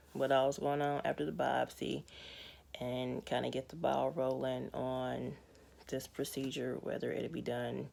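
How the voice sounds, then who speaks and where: A young adult woman with a raspy, hoarse voice, giving a candid, matter-of-fact personal health update to her viewers. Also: rumbling low, darkish tone. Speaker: vlogger.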